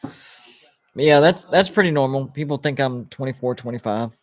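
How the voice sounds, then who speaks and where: A man's voice: mid-range voice, normal speed, dry recording. Rambling thirty-something man on a live stream. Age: 30s. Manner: rambling, restarts, lots of "like" and "you know". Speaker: sports YouTuber hosting a live watch party. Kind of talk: casual live-stream chat, reading viewer comments.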